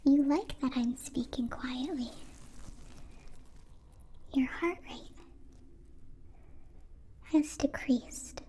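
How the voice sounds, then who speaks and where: A woman voicing a gentle, curious, naive alien in a soft whispered ASMR roleplay, with halting, formal, literal phrasing. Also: sits high, slight background noise. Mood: soothing, clinical. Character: gentle, curious, naive captive-born alien, newly befriended.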